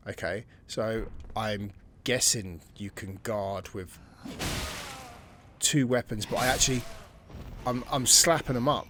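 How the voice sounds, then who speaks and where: A man's voice: lowish voice, slow pace, long pauses, background noise. A man giving casual, chatty commentary while he plays a video game, thinking aloud and reading tutorial text as he goes. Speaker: gaming channel host.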